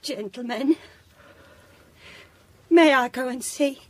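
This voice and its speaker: Audio drama maidservant: very high voice, slow, dry sound, timid, humble, tearfully deferential. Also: female voice, a little hiss or hum. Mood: timid, respectful. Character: deferential, tearful female household servant.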